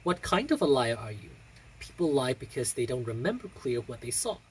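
A young adult with an androgynous, husky voice reciting poetry in a measured, theatrical way with deliberate pauses. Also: mid-range voice, very animated, slight background noise, rough recording. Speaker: poetry reader.

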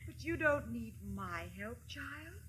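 A woman playing a kindly, wise good witch in a 1950s radio play, measured and soothing. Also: high voice, expressive, a bit bright, a little hiss or hum, exaggerated voice. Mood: serene, reassuring.

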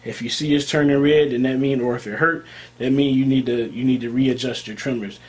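A man's voice: mid-range voice, fairly even intonation, fairly quick, breathy edge. A young American man speaking informally and emphatically as a barber hosting a video, often repeating his key points. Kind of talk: casual product talk to camera.

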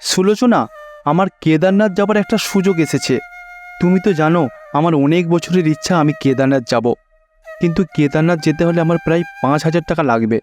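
A high-pitched, plaintive poor old devotee acted by a man for a cartoon folk tale. He sounds pious and pleading, a humble husband full of longing. Faint music sits behind him. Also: a little fast, a little mellow, close to an everyday voice. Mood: disheartened. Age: middle-aged. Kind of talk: Bengali cartoon story dubbing.

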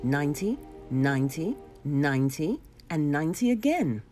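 Female storybook narrator, lowish mellow voice, lively expressive delivery, faint music underneath. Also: normal speed. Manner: dramatic, animated storytelling. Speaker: storybook reader. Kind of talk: expressive children's read-aloud narration.

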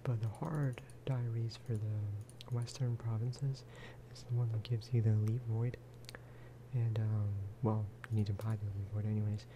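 Soft-spoken man murmuring over clear background noise. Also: flat delivery. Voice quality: soft, hushed voice, at times breathing into whisper. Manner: unhurried, low-key, musing aloud. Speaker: gaming video creator. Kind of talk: soft-spoken ASMR game commentary.

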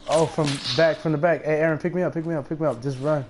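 A man's voice: a little high, steady tone, quick pace. A young man streaming a team video game, chatting casually in a slangy, profane, bossy way. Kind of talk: casual team gaming chat. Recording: noisy game voice chat.

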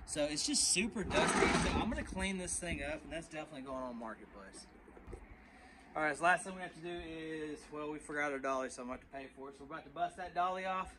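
Man, 30s, slightly raised pitch, casual reseller vlog patter, faint outdoor noise. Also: expressive, fast talker. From Texas. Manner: chatty, talks to viewers as y'all. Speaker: storage unit reseller vlogger. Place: outdoor storage unit, then inside a truck.

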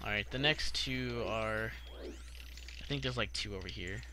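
A man's voice: fairly low pitch, measured pace, background noise. A young man giving casual, foul-mouthed, slangy live commentary while streaming a video game. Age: young adult.

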